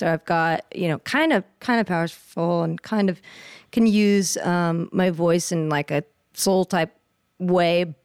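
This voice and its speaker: A woman's voice: lowish voice, clean tone, no echo, clean studio sound. A woman singer being interviewed, chatting casually in an earnest, thoughtful way. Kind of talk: casual chat.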